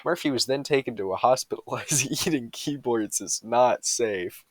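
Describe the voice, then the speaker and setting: A man's voice: medium pitch, moderate pace, no room sound. A man reading humorous bedtime stories aloud with a mock-serious storytelling delivery.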